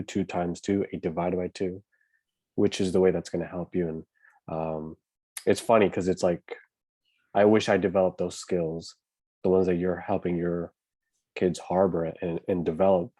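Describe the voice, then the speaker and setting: A man in his thirties hosting a podcast, speaking in a calm, chill, monotone way. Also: low voice, normal speed, dry recording. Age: 30s. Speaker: podcast host. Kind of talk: casual chat.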